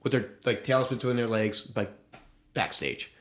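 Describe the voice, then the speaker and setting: A man's voice: mid-range voice, animated, moderate pace. Guy podcast co-host just shooting the breeze, crude jokes, mid voice, roomy echo. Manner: crude joking, informal. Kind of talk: casual chat recapping a wrestling show.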